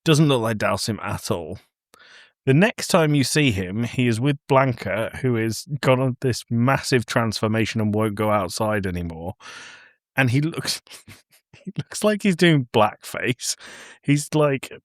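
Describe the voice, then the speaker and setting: A man co-hosting a podcast, telling things in an animated, casual conversational way. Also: medium pitch, unhurried, no room sound, clean studio sound. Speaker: podcast host. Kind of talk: casual film discussion.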